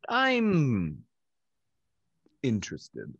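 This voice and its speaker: A young man playing a witty, cynical gentleman rake in a theatrical, wry Restoration comedy style. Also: medium pitch, huge pitch swings, extremely slow, slightly rough, lo-fi sound, heavily acted. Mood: amused, breezy. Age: young adult. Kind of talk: Restoration comedy stage acting over video call. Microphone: video call.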